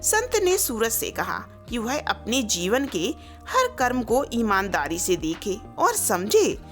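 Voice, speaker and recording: A woman narrates a scripted moral tale at a slow, measured pace. Her voice sits fairly high and moves in wide, animated swings of pitch, with music playing underneath. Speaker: storyteller.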